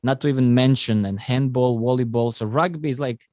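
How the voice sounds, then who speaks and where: A man's voice: mid-range voice, fairly quick, no room sound, clean studio sound. A man, telling his story as a podcast guest in slightly phrasing. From Croatia. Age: 20s. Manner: non-native phrasing, fillers, lively storytelling. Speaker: podcast guest, rugby player. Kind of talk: casual interview storytelling.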